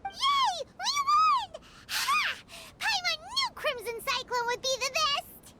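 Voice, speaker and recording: A woman's voice: very high voice, animated, slow, very noisy, full character voice. A woman voicing a tiny, cheerful, boastful floating female companion creature who talks about herself in the third person, in a video game. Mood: triumphant. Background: loud game music.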